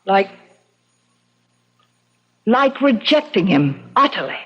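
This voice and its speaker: An actress playing a resolute, wryly amused middle-aged widow in a 1970s radio drama. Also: female voice, mid-range voice, sing-song, slow pace, slightly rough, exaggerated voice. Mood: firm, resolute. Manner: decisive, wry. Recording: dull old radio broadcast.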